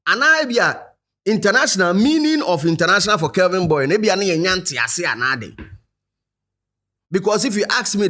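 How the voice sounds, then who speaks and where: A man with a Ghanaian accent hosting a commentary show, animated and rhetorical, speaking straight to his viewers. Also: a little high. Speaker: show host. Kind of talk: commentary to camera, mixing Twi and English.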